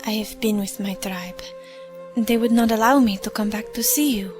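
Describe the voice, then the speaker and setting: A woman playing a grave, reserved Arctic tribeswoman in an audio drama, speaking hesitantly and deliberately. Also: medium pitch, a little mellow, faint music behind, natural voice. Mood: guarded, grave.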